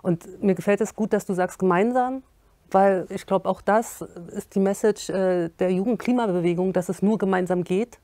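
A middle-aged woman moderating an interview, thoughtful and pausing to search for words, in an indoor studio. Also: mid-range voice, dark tone, no room sound.

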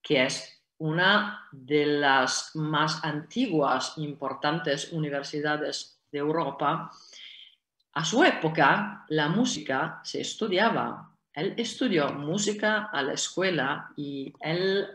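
A woman in her forties, a native Italian speaker with an Italian accent, answering questions slowly and haltingly with many fillers over a video call. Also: low voice, fairly bright tone. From Italy. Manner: slow, halting, many fillers and restarts, gestures with hands. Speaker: guest speaker. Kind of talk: online conference Q&A. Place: indoor room at home. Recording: echoey video call audio.

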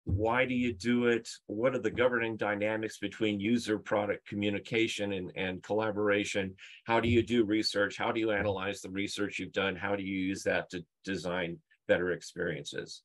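A man's voice: fairly low pitch, flat delivery, moderate pace, few pauses. A middle-aged man presenting on a video call, explaining emphatically through stories and rhetorical questions. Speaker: conference speaker, UX leader. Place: book-lined room at home, indoors.

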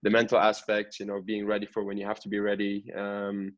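A man's voice: lowish voice, monotone, fairly quick, muffled recording. A man in his twenties, a pro soccer player, chatting casually as an interview guest. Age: 20s. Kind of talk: casual podcast interview chat.

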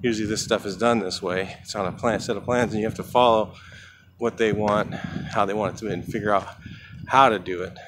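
A man's voice: fairly low pitch, fairly even intonation, a little fast. A man who works as a house painter, walking around a building outdoors and thinking aloud in casual commentary, often trailing off mid-thought. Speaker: house painter filming a walkthrough. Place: outdoors beside a large building. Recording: outdoor camera audio with traffic noise.

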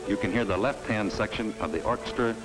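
A middle-aged man presenting a vintage promotional film in a studio, giving a measured, emphatic sales pitch. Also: poor audio. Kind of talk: scripted promotional narration. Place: studio set. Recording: old film soundtrack with faint music.

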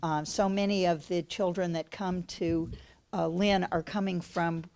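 Loud low-pitched woman at a podium. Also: steady tone, measured pace. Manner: loud, warm and appreciative, with many fillers. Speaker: health center executive director. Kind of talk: speech at a community breakfast. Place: large community function room. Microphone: podium microphone.

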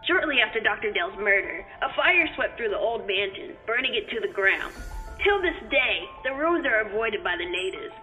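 An actress playing a gleefully macabre horror-show announcer, narrating theatrically and ominously in 1940s radio style. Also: female voice, medium pitch, big pitch swings, normal speed, sharp tone, dull audio. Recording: distant mic under music.

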